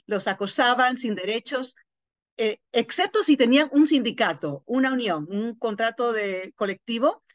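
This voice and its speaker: A woman giving a live interpretation over a video call, halting with frequent pauses and fillers. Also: fairly high pitch, dry recording. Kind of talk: simultaneous interpretation. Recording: dull lo-fi video call audio.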